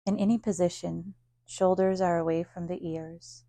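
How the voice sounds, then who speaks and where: A woman leading a guided yoga nidra meditation as a teacher, speaking in a calm, soothing, unhurried manner with long pauses. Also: fairly low pitch, vocal fry, dry recording.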